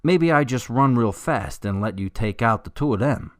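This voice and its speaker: A man playing an unbothered, sardonic police officer in a radio drama, deadpan and casual. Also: mid-range voice, moderate pace, no echo, studio quality, close to an everyday voice. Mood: sardonic, impatient. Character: gruff, unbothered male police officer, sardonic risk-taker.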